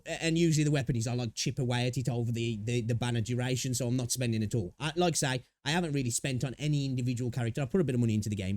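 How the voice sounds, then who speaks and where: Chatty male reactor, quick and excitable, close dry mic. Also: medium pitch, no echo. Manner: chatty, excitable, curses casually. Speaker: channel host reacting to a video. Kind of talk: casual reaction commentary.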